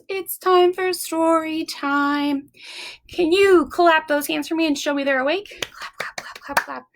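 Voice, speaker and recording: High-pitched woman leading children's story time. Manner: encouraging, animated, addressing young children directly; simple repeated phrases and questions to the listener. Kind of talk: children's story time with chants, songs and simple explanations.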